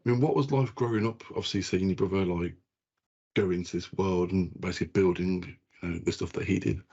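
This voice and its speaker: A man with a very deep, husky voice hosts a podcast. His talk is loose and rambling. The audio sounds a little muffled, like a remote call. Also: steady tone, brisk, warm tone. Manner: loose, rambling, repeats "obviously". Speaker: podcast host. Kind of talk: casual interview chat.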